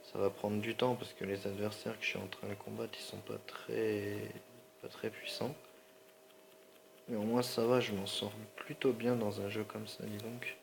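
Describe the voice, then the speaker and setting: A man with a low, gravelly voice gives casual gameplay commentary while nursing a slightly sore throat and a stuffy nose. He rambles in slangy asides and keeps apologizing to his viewers, over a faint ambient hum. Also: little pitch movement, moderate pace. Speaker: gaming channel host.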